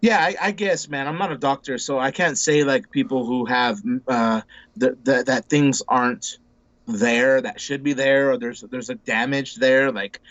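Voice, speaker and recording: A man's voice: medium pitch, steady tone, unhurried, fairly bright tone, no room sound, dull audio. A man in his forties, talking casually as an interview guest, rambling with lots of restarts and repeated words, and calling people bro and dude. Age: 40s. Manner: laid-back, says bro and dude a lot. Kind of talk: casual conversation.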